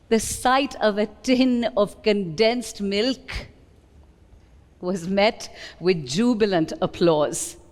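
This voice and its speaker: A woman with an Indian accent giving an expressive, deliberate dramatic reading of literary prose. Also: mid-range voice. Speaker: reader at a book event. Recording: long hall reverb.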